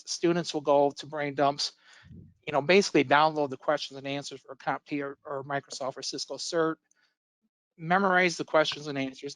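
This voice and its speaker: Guy teaching an it webinar, kinda hoarse, higher voice, lots of ums and you knows, dry close sound. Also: a bit bright, no echo. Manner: casual, lots of fillers and restarts. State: losing his voice from illness. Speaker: IT instructor. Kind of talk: webinar presentation.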